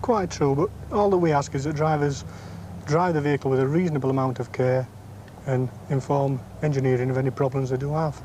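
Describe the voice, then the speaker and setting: A British man giving formal, matter-of-fact interview answers as a technical engineer, in measured phrases. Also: medium pitch, steady tone, mellow, slight background noise. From Yorkshire area, England. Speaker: depot engineer.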